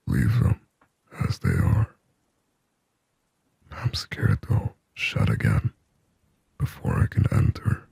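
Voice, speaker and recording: A man whispering as a very deep, gravelly demon. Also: no room sound, exaggerated voice. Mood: uneasy, hesitant. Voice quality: breathy, husky whisper with low gravelly rasp. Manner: slow, halting, hushed close-to-ear delivery. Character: lonely, possessive sleep paralysis demon, yearning and intimate. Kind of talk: scripted ASMR roleplay monologue. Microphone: close microphone, dry.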